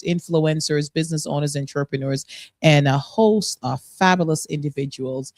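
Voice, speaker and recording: A woman's voice: low-pitched, a little mellow, no room sound. A middle-aged woman from Jamaica, hosting a radio interview show in a studio, upbeat and chatty, laughing often. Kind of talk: casual interview chat.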